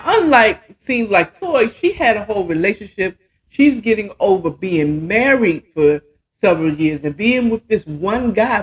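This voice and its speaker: A woman's voice: mid-range voice, rough recording. A woman in her fifties telling a story casually as a podcast host, chatty and emphatic, often checking in with the listener. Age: 50s.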